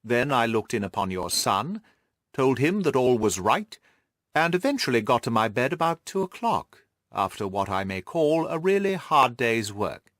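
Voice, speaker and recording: A man reading the part of a shrewd, self-assured gentleman detective, precise and methodical, in an audiobook. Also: mid-range voice, very animated, moderate pace, no echo, clean studio sound. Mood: brisk, satisfied.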